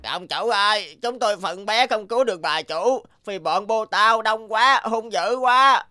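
A woman's voice: mid-range voice, measured pace, bright, forceful tone, no echo, faint music behind. A woman voicing a small, loyal animal helper in a cartoon fairy tale, earnest and pleading. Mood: distressed.

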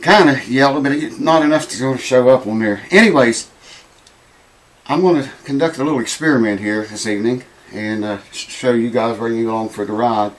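A man, hoarse and losing his voice, chatting casually to camera in a small home workshop. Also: medium pitch, a little fast, low-quality recording. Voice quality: raspy. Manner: rambling, folksy, swears casually. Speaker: guitar repair vlogger. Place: small cluttered home workshop with mounted animal heads, indoors.

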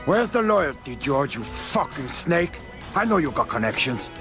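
A brash Cuban gangster kingpin for a video game. His voice sits a little high and swings widely, full of swagger and profanity, in a Cuban accent. Music plays behind him. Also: big pitch swings, normal speed. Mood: defiant, angry. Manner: cocky, profane, domineering. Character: brash, hot-tempered Cuban gangster kingpin, adult man. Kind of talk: video game cutscene acting.

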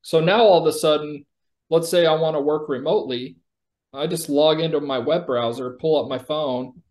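Higher-pitched man demoing software. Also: normal speed. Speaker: company executive presenting software. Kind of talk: product demo, conversational explanation. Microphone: video call.

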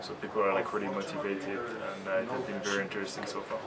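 Low-voiced man amid loud crowd chatter. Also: completely flat delivery, quick pace, distant mic. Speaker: interviewee, tech founder. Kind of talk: casual interview answers. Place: busy indoor conference hall.

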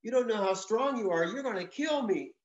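A man's voice: high-pitched, animated, a little fast, voice pushed up. A man playing a little male songbird who is trusting yet wary, pleading in a lifted, earnest voice. The sound is roomy and muffled.

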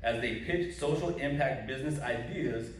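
A man reading a measured, formal introduction of an award recipient. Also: mid-range voice, slight background noise. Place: large indoor hall, to a seated audience. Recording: echoey hall from far off.

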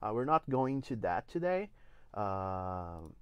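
A man in his forties from Brazil, speaking English with a Brazilian Portuguese accent, walking through a software demo step by step with many "uh"s and restarts. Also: lowish voice, big pitch swings, slow pace, smooth, dry recording. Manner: explains step by step with frequent "uh" and restarts. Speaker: engine evangelist giving a demo. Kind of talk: live software tutorial. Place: indoor studio.

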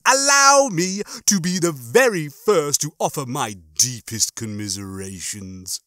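A man's voice: fairly high pitch, expressive, bright, forceful tone, no room sound, clean studio sound, voice pushed up. A man voicing a smug, pompous, gloating hologram bunkmate in a theatrical, sneering way for a story reading. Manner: theatrical, sneering, mock-cheerful, drawn-out words.

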